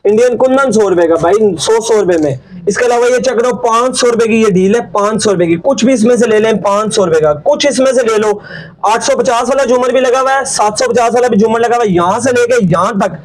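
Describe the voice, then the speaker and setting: Pushy high-voiced shop seller. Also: male voice, brisk, poor audio. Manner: insistent, repeats prices, swears by God. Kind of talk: sales pitch in a shop.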